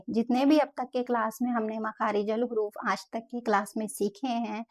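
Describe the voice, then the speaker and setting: Soft-spoken woman teaching letter sounds. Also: a little high. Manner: slow, careful demonstration of letter sounds with repetition. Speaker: Quran pronunciation teacher. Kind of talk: step-by-step tajweed lesson.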